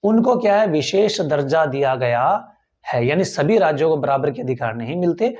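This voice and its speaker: Man lecturing slightly high, repeating points. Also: animated, normal speed, darkish tone, dull audio. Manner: repeats key points, quizzes listeners with rhetorical questions. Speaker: teacher. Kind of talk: classroom lecture for tenth grade.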